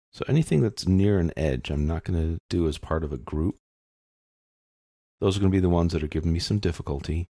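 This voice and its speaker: A man giving an informal, unscripted step-by-step software tutorial, explaining and thinking aloud as he works. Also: a bass voice, dry recording, clean studio sound.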